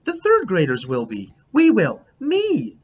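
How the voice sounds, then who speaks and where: A man's voice: high voice, wildly swooping pitch, unhurried, slightly rough, voice pushed up, exaggerated voice. A man voicing an earnest, bossy third-grade girl from a storybook, emphatic and insistent. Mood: excited. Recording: dull lo-fi, background noise.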